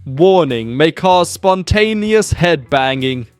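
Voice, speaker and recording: Puppet mad inventor: high, swooping, boastful and theatrical, for kids' comedy. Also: male voice, lively intonation, slow, clean tone, faint music behind. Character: grandiose eccentric mad-scientist puppet inventor, proud of absurd gadgets. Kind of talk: children's puppet comedy show.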